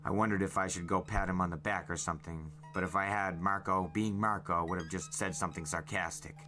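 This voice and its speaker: Low, bright-voiced male storyteller. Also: steady tone, fairly quick. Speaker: storyteller, podcast host. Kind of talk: dramatic first-person audiobook narration. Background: faint music.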